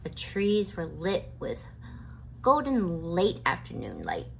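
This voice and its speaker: A woman storytime host with a slightly low voice and animated intonation. She reads to children at a slow pace, with faint noise behind her. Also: vocal fry, rough recording. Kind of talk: children's storybook read-aloud.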